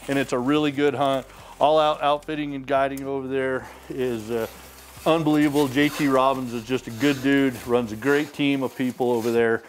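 A middle-aged man hosting a sweepstakes drawing in a small studio, casually announcing winners' names and towns off the cuff. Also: on the high side. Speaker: sweepstakes host. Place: indoor studio with wood walls and mounted trophies.

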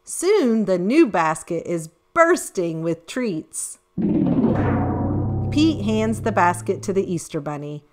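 Female picture book narrator, unhurried and lively, expressive delivery for little kids, light music underneath. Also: medium pitch. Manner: expressive, deliberate storytelling for young children. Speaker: children's storybook reader. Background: background music.

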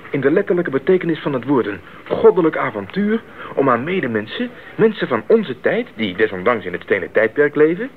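A man's voice: mid-range voice, natural voice. An actor playing an idealistic, eager young male missionary in a 1980s radio drama, speaking earnestly and articulately. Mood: fervent. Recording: narrow-band 1980s radio recording, faint noise.

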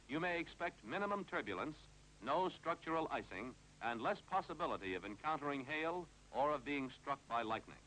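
An American man narrates a 1950s instructional film in a clipped, authoritative way. His voice is bright and forceful, with lively intonation that rises and falls through each instruction. The old film soundtrack adds faint noise and hum. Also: fairly high pitch, poor audio.

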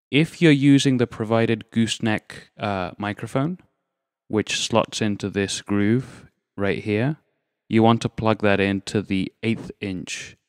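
Male tutorial presenter: fairly low, measured, explains step by step, very dry close-mic sound. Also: dry recording. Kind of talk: instructional product demonstration, explaining step by step. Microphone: external dynamic microphone, close.

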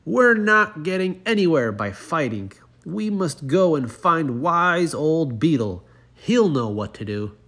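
A man's voice: a little high, sing-song, slow, a bit bright, pitched above the performer's own voice, exaggerated voice. A man voicing a commanding, earnest chief frog in a children's storybook, speaking in emphatic declarations. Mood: urgent, commanding.

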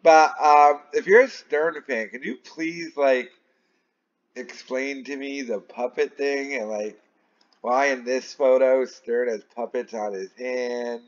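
A man's voice: medium pitch, slow pace. A man giving casual opinion commentary in a baffled, rambling way, repeating phrases for emphasis. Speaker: online commentator. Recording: dull, lo-fi sound.

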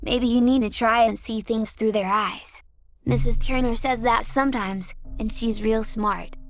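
A woman's voice: on the high side, steady tone, measured pace, slight background noise. A woman playing a caring, bluntly honest young child in an audio drama. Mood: concerned. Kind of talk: amateur audio drama acting.

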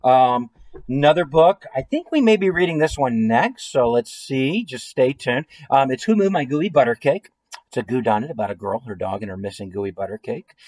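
An American man in his forties with a medium-pitched voice hosts a children's story time. He is chatty and playful, addressing listeners directly. The sound is dry, with little room echo. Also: expressive, normal speed, a little mellow. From St. Louis area, United States. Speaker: children's author and illustrator reading his book. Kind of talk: children's story time read-aloud with trivia quiz. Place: small room at home, indoors.

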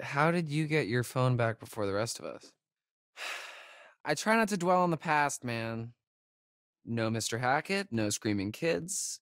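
An actor playing a joking, sardonic young camp counselor in a video game, with an American accent and a laid-back, slangy way of talking. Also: male voice, medium pitch, fairly bright tone, dry recording, clean studio sound. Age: young adult. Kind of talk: naturalistic video game acting.

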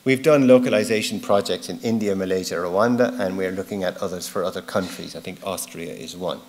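A middle-aged man giving an informal, explanatory keynote at a lectern, plain-spoken, stressing key words and letting phrase ends trail off. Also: deep voice. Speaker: keynote speaker. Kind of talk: conference presentation, informal and explanatory. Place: large wood-panelled auditorium, at a lectern. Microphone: lectern gooseneck microphone.

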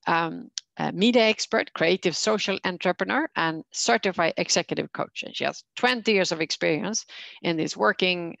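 A woman moderating an online panel and introducing a speaker in a halting way with frequent pauses. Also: on the low side, creaky, fairly bright tone, no room sound. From Sweden. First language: Swedish.

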